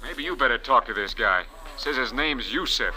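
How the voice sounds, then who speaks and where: A man playing a gruff, slangy bartender sidekick in an old-time radio drama, blunt and informal. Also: mid-range voice, animated, normal speed, pushed and bright, low-quality recording. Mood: matter-of-fact. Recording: vintage radio drama recording.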